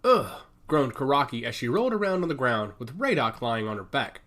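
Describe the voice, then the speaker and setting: A man's voice: medium pitch, fairly bright tone. A man narrating an action story as a scripted, dramatic audiobook.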